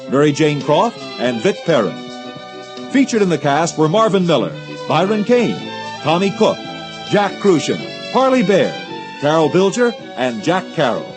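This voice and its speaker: A man reading scripted credits as a radio announcer. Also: fairly high pitch. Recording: music behind broadcast announcement.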